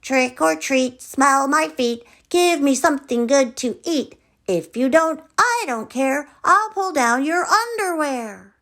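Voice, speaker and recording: A woman's voice: high-pitched, big pitch swings, slow, fairly bright tone, voice pushed up, exaggerated voice. A woman voicing a cheeky, eager young boy frog in a theatrical, exclamatory storybook style. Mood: cheeky chanting. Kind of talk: animated children's storybook character voice.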